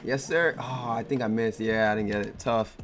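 Young male gaming host, voice going hoarse, excitable slangy play-by-play, light game music behind. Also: lowish voice, moderate pace. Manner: excitable, laughing, trash-talking slang. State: hoarse, losing his voice. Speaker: gaming YouTuber. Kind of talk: casual gameplay commentary.

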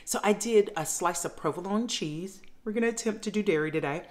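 A woman's voice: mid-range voice, brisk, a little mellow. A middle-aged woman chatting casually to her viewers while demonstrating a recipe in her home kitchen. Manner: chatty, addresses viewers as fam. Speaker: cooking vlogger. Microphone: camera facing her at counter.

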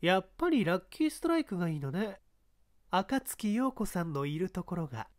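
Woman playing a sharp, animated female scout. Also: lowish voice, normal speed. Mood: businesslike, needling. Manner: insistent, needling, feminine old-fashioned phrasing. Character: sharp, pushy, calculating woman baseball scout.

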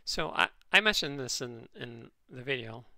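A man with a nasal voice, hosting his channel and replying to comments in a halting, dryly sarcastic way, full of ums and restarts. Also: medium pitch, lively intonation, creaky, sharp tone, no echo. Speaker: channel host responding to comments. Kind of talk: casual commentary reading comments aloud.

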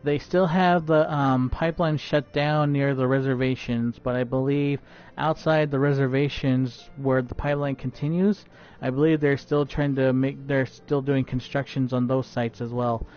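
A man's voice: mid-range voice, little pitch movement, moderate pace, hardly any pauses, soft background music. A man live streaming while he plays a game, talking casually and stuttering often with many fillers and restarts. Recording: dull lo-fi stream audio.

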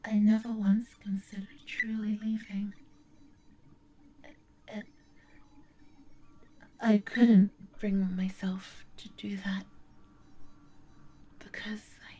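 A woman playing a lonely, devoted, remorseful woman in an ASMR audio roleplay, speaking softly and breathily with stammers and broken sentences. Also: mid-range voice, level tone, soft background music, close to an everyday voice. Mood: tender, sad. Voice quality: soft, breathy, often dropping to a whisper. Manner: halting, stammering, breaking up mid-sentence.

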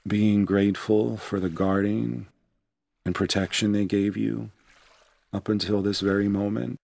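Very low-voiced man guiding meditation, creaky. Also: level tone, no echo. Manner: gentle, unhurried, soothing instruction. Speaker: meditation guide. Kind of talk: guided meditation narration.

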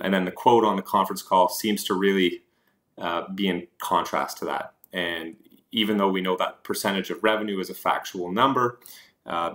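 A man's voice: low voice, a bit bright. A man presenting investing slides as a host, explaining points informally with hesitant asides.

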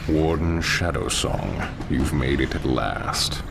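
Casting: brooding demonic game villain. Bottomless low pitch, very slow, ominous gravel. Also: male voice, heavy background noise, heavily acted. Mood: smug, ominous. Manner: ominous, mocking, deliberate. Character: arrogant, brooding male demon hunter villain. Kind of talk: video game cutscene acting. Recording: loud music under the voice.

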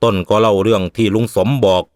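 A man's voice: fairly low pitch, level tone, fast, no echo, clean studio sound. A man narrating a scripted story as a storyteller.

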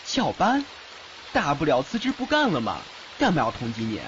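A man playing a devoted, trusting young godson in a fan audio drama, expressive and reassuring. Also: a little high, moderate pace. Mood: concerned, surprised. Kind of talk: Mandarin fan radio drama acting. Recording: muffled, faint background noise, rain-like ambience.

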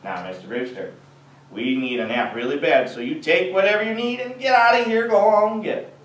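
A storyteller doing a gruff, sleepy manservant. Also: mid-range voice, brisk, natural voice. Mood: impatient. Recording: echoey room from far off with a crowd.

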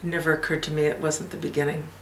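Wistful mother, played by an actress, hushed, halting, reflective stage monologue, echoey room. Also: low voice, flat delivery, a little hiss or hum, natural voice. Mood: wistful, tender. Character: wistful older mother recalling her lost moment of happiness. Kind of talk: dramatic audition monologue.

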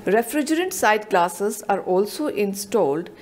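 A woman narrating a scripted technical explanation in a measured, explanatory voice-over. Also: mid-range voice, lively intonation, a little mellow, slight background noise.

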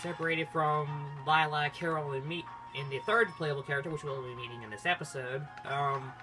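A gamer hosting a casual playthrough, talking in a rambling, halting way and often cutting into their own sentences. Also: medium pitch, steady tone. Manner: halting, rambling, self-interrupting. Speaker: gaming video host. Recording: game music behind the voice. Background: video game music.

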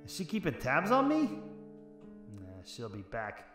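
A man's voice: medium pitch, huge pitch swings, measured pace, roomy sound, over music. A man playing a stubborn, wry 1940s private detective in a noir radio drama, speaking in terse, slangy tough talk on a close mic. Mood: brooding narration. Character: hard-boiled, stubborn 1940s private detective, gruff uncle. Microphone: close studio microphone, headset.

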